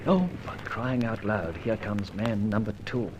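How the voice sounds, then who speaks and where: An actor playing a dashing, self-assured gentleman adventurer in an old-time radio detective serial, jaunty and clipped. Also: on the low side, measured pace, close to an everyday voice. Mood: puzzled, muttering. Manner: jaunty, clipped, theatrical exclamations. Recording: noisy old radio recording.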